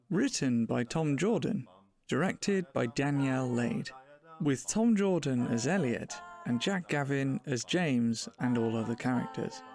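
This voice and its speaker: A man's voice: medium pitch, creaky, a little mellow. A man reading scripted closing credits as the announcer for a drama podcast.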